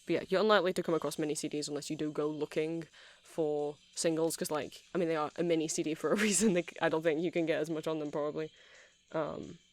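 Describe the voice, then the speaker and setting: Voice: mid-range voice, steady tone, quick pace, natural voice. A performer playing a nerdy, earnest vampire who sells music in a shop, in a hesitant, rambling ASMR roleplay full of self-corrections. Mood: enthusiastic, nerdy. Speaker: shop seller.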